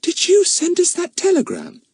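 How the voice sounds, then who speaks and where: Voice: extremely high pitch, huge pitch swings, moderate pace, vocal fry, darkish tone, no room sound, pitched above the performer's own voice, exaggerated voice. A narrator voicing a curious, questioning young girl in a storybook.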